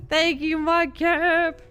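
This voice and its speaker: A woman live streamer chatting casually and playfully over a game, sometimes reading dialogue aloud, with an expressive delivery. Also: very high voice.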